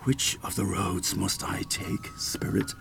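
An actor playing an anguished, frightened and remorseful old father in a radio play, halting and pleading. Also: low-pitched, steady tone, vocal fry, a bit bright, soft background music, heavily acted. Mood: fearful, hushed.

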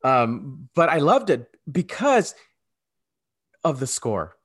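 Animated man in his forties, lower-mid voice. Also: takes it slowly, slightly rough. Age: 40s. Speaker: podcast co-host. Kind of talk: casual chat.

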